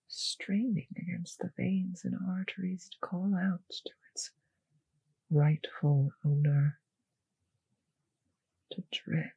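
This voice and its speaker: A woman playing a refined, possessive vampire mistress in an intimate roleplay audio drama, teasing and condescending, with a breathy, husky voice that drifts into whispers. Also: fairly low pitch, no room sound, exaggerated voice. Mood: hungry, seductive.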